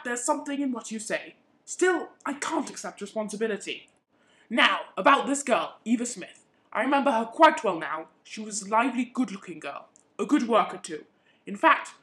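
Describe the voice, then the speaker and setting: Woman as middle-aged factory-owner father, pompous, indignant, self-satisfied, fairly high voice, amateur radio drama. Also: fairly bright tone. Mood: grudging. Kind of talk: amateur radio play acting.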